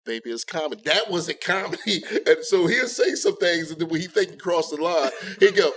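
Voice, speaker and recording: A man's voice: on the high side, expressive, a little fast, a bit bright. A man co-hosting a casual comedy podcast, chatting and telling comic stories. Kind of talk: casual chat, comic storytelling.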